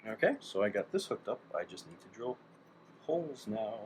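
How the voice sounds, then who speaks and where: Male DIY hobbyist, low-pitched, casual and musing, talking half to himself; clearly noisy recording. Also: sing-song, normal speed. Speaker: DIY electronics hobbyist narrating his work. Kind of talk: casual thinking-aloud workshop chat.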